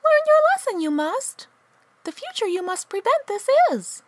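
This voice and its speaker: A tiny, ancient-sounding alien baby, squeaks out solemn wisdom in backwards phrasing. The voice is extremely high and very animated, with a dry close sound, in a comic radio play. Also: female voice, normal speed, no room sound. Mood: solemn, earnest. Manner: inverted word order, solemn pronouncements.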